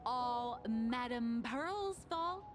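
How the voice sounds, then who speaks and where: A woman's voice: fairly high pitch, lively intonation, extremely slow, over music. An actress playing a breezy, theatrical young female novelist in an anime game, playful and teasing with a knack for excuses. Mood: mock aggrieved.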